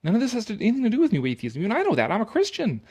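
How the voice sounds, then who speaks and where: A man's voice: sits high, wildly swooping pitch, very fast, a little mellow, breathy edge. A Canadian man giving casual, opinionated commentary on an article he reads aloud, sarcastic, exasperated and given to swearing.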